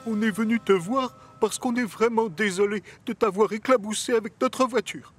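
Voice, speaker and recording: An actor voicing a hesitant, apologetic visiting photographer in a dubbed children's cartoon. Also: male voice, high-pitched, moderate pace, breathy edge, faint music behind.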